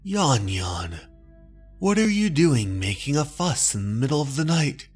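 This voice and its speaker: A stern middle-aged father acted out by a man in a horror manga dub. He speaks firmly and emphatically, proud and quick to dismiss. Faint music runs behind him. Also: mid-range voice, big pitch swings, darkish tone, husky. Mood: irritated.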